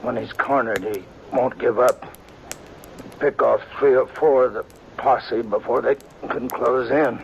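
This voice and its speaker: An actor playing a wounded, remorseful old sheriff who speaks weakly and haltingly in a 1950s radio drama. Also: male voice, medium pitch, fairly even intonation, vocal fry, a little hiss or hum, poor audio. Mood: pleading. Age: older. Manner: weak, halting, pleading.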